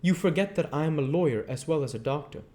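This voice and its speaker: A man voicing an elderly foreign professor, speaking in earnest, halting phrases at a raised pitch. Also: animated, darkish tone, lightly acted.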